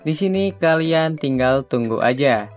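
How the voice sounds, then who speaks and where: A man's voice: a little high, expressive, a little slow. Man giving casual tutorial over music. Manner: informal, repetitive step-by-step instructing. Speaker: tutorial host. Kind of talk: casual screen-recorded software tutorial. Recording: music behind the voice. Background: background music.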